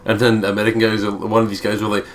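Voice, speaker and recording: A man chats casually as a game co-commentator. He is blunt and jokey with a fairly low voice, and there is faint noise behind him. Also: monotone, racing. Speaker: let's play co-commentator. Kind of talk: casual chat, joking commentary.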